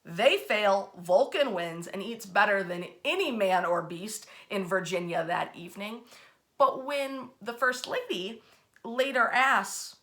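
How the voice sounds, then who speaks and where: A woman with a bright, crisp-edged voice at an average pitch tells history as a storyteller. She is animated and informal, stressing words for dramatic effect. Wry, colloquial asides break up the narration. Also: unhurried. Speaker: host and storyteller of a history series.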